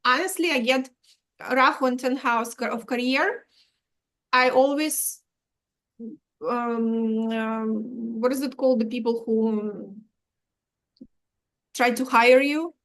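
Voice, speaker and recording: Lady explaining stuff in an interview, lots of restarts, kinda high voice. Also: a bit bright, low-quality recording. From Ukraine. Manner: halting, many restarts, drops articles. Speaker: Vedic astrologer guest.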